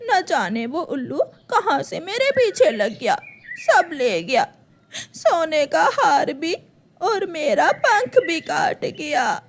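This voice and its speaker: A woman's voice: sing-song, takes it slowly, exaggerated voice. A woman voicing a greedy, ageing female crow in a melodramatic, whiny cartoon manner. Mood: tearful lament. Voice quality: tearful, high-pitched.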